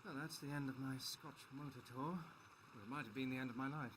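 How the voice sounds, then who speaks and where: A man's voice: mid-range voice, brisk, background noise, lightly acted. A man voicing a modest, plain-spoken young colonial traveler with short, understated replies, as he reads a story aloud. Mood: dry. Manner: terse, understated.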